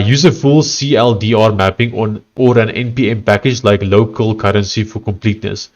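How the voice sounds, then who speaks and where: A man with a heavy Afrikaans accent talks casually to his livestream audience. His voice sits a little low, and he reads chat and on-screen text aloud in a halting, conversational way. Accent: South African, Afrikaans. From South Africa. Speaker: livestreaming coder.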